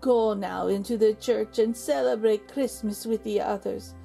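A woman's voice: mid-range voice, fairly even intonation, a little slow, creaky, warm tone, faint music behind. A woman voicing a kindly, wise old woman in a children's storybook, soothing and reassuring. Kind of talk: children's storybook read-aloud.

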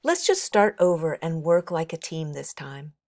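A woman's voice: sits low, very animated, unhurried, a little mellow, dry recording, studio quality, exaggerated voice. A woman voicing a friendly, cooperative schoolboy in a children's storybook, in an upbeat and emphatic way. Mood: supportive.

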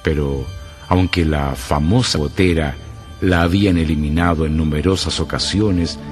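A Chilean man playing the ominous, measured, dramatic storyteller of a radio mystery drama. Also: rumbling low, fairly even intonation. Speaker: narrator. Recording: music behind the voice.

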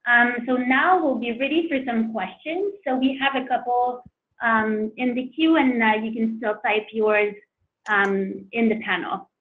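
A woman's voice: on the high side, a little slow, a little hiss or hum. A woman hosting a product webinar, presenting and reading audience questions in a friendly way with many fillers. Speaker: webinar host. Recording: tinny phone-like line.

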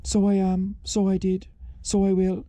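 A man's voice: high voice, completely flat delivery, a little slow, dark tone, no room sound. A man voicing a confused, pedantic old Irish grandad who rambles, hesitates and repeats himself. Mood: pedantic, baffled. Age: older.

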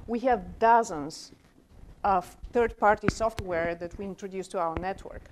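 A woman's voice: mid-range voice, a little hiss or hum. A woman in her forties from Bulgaria with a Bulgarian accent, earnestly giving a conference talk at a podium mic in a small room. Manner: earnest, gestures with both hands. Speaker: conference speaker. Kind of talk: conference presentation.

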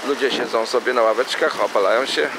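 A man's voice: medium pitch, quick pace. A man giving a casual, rambling running commentary as he films from a small boat on a city river. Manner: chatty, rambling with fillers and self-corrections. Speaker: amateur tour guide on a boat. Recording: loud wind and boat engine noise.

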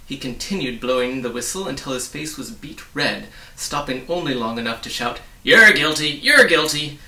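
A man's voice: medium pitch, moderate pace, fairly bright tone. A man in his forties narrating a storybook aloud, recorded in a small room. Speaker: storybook reader. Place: small book-lined room indoors. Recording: roomy, distant microphone.

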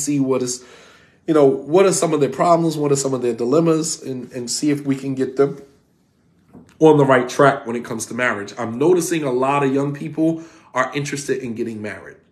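A man in his forties teaching an online class from home, talking in a lively, conversational way and repeating points for emphasis. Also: medium pitch, normal speed. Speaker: Islamic teacher. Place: home room, indoors. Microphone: phone camera at desk.

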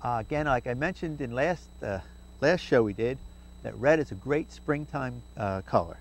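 A man's voice: medium pitch, animated, moderate pace. A middle-aged man hosting a fishing tips segment, talking casually to camera from a boat outdoors with many "uh" fillers and restarts. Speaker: fishing show segment host. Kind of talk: casual instructional talk to camera. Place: outdoors, sitting in a boat on a river.

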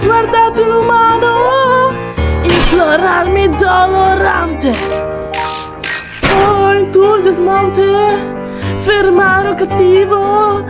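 A woman's voice: very high voice, steady tone, extremely slow, full character voice. A woman voicing a fierce, mocking fish-woman warrior in a cartoon musical, theatrical and grandiose as she speaks and sings. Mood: triumphant. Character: fierce, gloating fish-woman warrior in armor, self-styled hero. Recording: music behind the voice.

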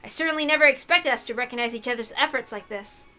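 A brooding young game master, acted by a woman, earnest and bitter in a dramatic visual novel reading. The voice is fairly high for the part, with faint music underneath. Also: fast talker, sharp tone.

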